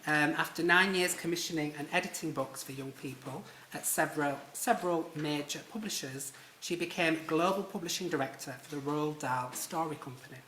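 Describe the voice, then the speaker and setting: A man's voice: fairly high pitch, a little fast, hardly any pauses, sharp tone, a little hiss or hum. A man with a Yorkshire accent moderating a live literary panel in a theatre, chatty and self-deprecating with frequent ums. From Leeds, England. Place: theatre stage before an audience. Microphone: stage microphone. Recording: roomy theatre sound.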